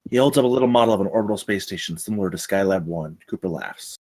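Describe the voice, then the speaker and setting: A man's voice: low voice, fast talker, darkish tone. A man reading stage directions as the narrator of a screenplay table read.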